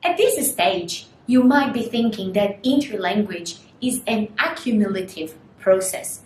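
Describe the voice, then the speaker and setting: A young woman whose first language is Portuguese gives a recorded university lecture, explaining slowly and putting rhetorical questions to students. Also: lively intonation, darkish tone. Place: small studio room in front of a screen. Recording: echoey room, faint rumble.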